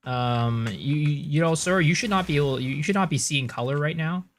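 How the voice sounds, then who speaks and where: A man's voice: fairly high pitch, a little hiss or hum. A young man whose first language is Cantonese, chatting casually with his viewers while he plays a game on stream. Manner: chatty, relaxed, answers chat with quick asides.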